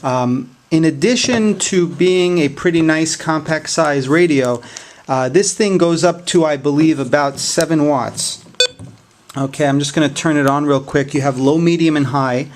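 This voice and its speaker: A man giving a casual, unscripted product review in a hesitant, halting way. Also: a little high, slight background noise.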